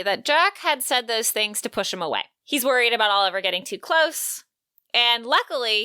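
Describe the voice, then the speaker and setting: A woman co-hosting a podcast, recapping a book and reading out quotes with measured, wry storytelling. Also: on the high side, sharp tone, clean tone, no room sound. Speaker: podcast co-host.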